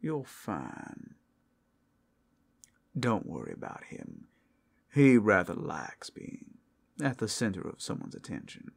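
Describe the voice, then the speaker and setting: Man playing a husky, drawling, seductive werewolf. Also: lowish voice, wildly swooping pitch, takes it slowly, pauses often, full character voice. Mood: flirtatious, soothing. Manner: flirtatious, drawling, teasing. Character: suave, predatory male werewolf companion at a fantasy brothel. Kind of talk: ASMR roleplay.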